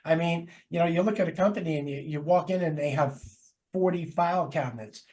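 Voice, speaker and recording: A man's voice: on the high side, brisk, darkish tone. A man from New Jersey with a New York accent, co-hosting a casual chat and telling a rambling story with hesitant fillers. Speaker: podcast co-host.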